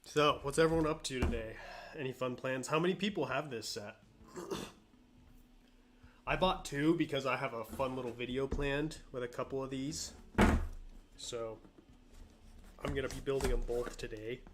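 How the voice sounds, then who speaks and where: A man hosting a casual live stream while sick, a bit nasal with frequent throat clearing, greeting viewers by name in a relaxed way. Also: mid-range voice, measured pace, pauses often, noisy background.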